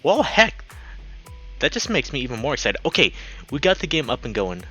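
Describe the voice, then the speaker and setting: A man hosting a game livestream, chatting casually and excitably with his viewers. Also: medium pitch, a little fast, husky, soft background music. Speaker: game streamer and host. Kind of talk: casual livestream chat while playing a visual novel.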